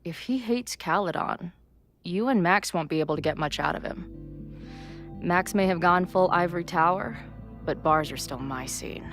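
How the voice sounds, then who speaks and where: Woman acting weary, wry low-voiced young woman. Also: normal speed, soft background music, close to an everyday voice. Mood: wry, determined. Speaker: video game voice actor. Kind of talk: naturalistic dramatic game dialogue.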